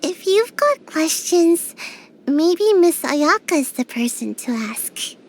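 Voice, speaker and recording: A woman's voice: very high voice, very animated, slow, exaggerated voice. A woman voicing a tiny, sleepy young ninja girl in a game, drowsy and a little whiny, shy but grumpy. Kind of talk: game character voice lines.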